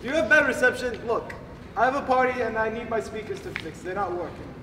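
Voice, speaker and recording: A man's voice: sits high, lively intonation, fast talker, sharp tone, a little hiss or hum. A man playing a meek, henpecked elderly husband, placating and long-suffering, in a stage comedy. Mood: weary, pleading. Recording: echoey hall, distant mic.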